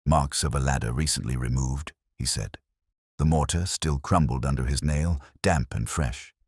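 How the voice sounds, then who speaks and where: A man reading a scripted first-person mystery story as an audiobook narrator, in a measured, solemn, literary manner. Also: extremely low pitch, husky, dry recording, studio quality.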